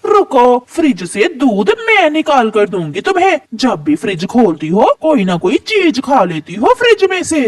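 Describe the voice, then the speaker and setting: A woman's voice: on the high side, sing-song, a little slow, hardly any pauses, no room sound. Woman playing a jealous, snappish crow stepmother. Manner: snappish, scornful. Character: jealous, scheming crow stepmother, sharp-tongued adult female.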